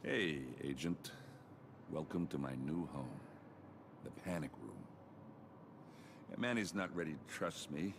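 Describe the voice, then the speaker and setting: An actor playing a middle-aged captive rogue agent in a video game, wry, resigned and understated. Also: male voice, extremely low pitch, expressive, unhurried, vocal fry, long pauses, background noise, heavily acted. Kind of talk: naturalistic video game dialogue.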